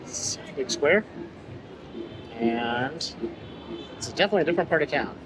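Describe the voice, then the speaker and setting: A man's voice: on the high side, animated, moderate pace, over music. A man talking casually as he films a family travel vlog. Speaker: travel vlogger, father. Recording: noisy handheld vlog, street and ambient noise.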